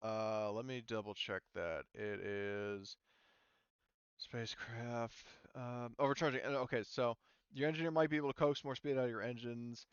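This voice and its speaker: Casting: man, casual tabletop game master, smooth middle-pitched voice, halting thinking-aloud delivery. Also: steady tone, no echo, lo-fi sound. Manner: halting, thinking aloud while checking rules.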